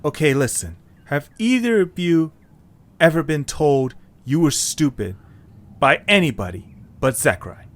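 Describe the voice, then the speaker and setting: A man's voice: on the high side, lively intonation, a little slow. A man acting an earnest, encouraging young male character in a scripted audio story, speaking conversationally. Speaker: narrator and voice of the main character.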